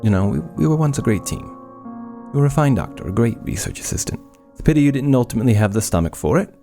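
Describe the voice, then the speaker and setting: An actor playing an aloof, obsessive scientist in a horror audio drama, speaking formally and dismissively. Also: male voice, medium pitch, lively intonation, quick pace, mellow, faint music behind, close to an everyday voice. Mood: wistful, eager.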